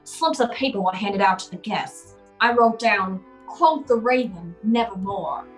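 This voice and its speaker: A woman's voice: medium pitch, measured pace, soft background music, close to an everyday voice, rough recording. A woman playing a hardboiled private eye, narrating a noir radio play in a dry, wry, tough way.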